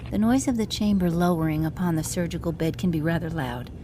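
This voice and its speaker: A woman's voice: fairly low pitch, fast talker, warm tone, lightly acted. A woman playing a polite alien surgeon in a science fiction audio drama, speaking in a formal, clinical manner. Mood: calm, clinical. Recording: clearly noisy audio drama track.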